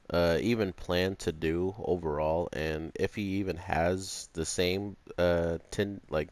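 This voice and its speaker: A man's voice: sits low, no echo. A man giving informal, unscripted review commentary in a hesitant, meandering way with bits of slang. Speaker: anime review YouTuber.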